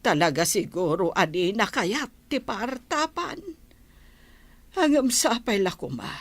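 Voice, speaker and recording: An actress playing an anguished, fearful wife in an Ilocano radio drama. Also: female voice, mid-range voice, very animated, a little slow. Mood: troubled, pleading.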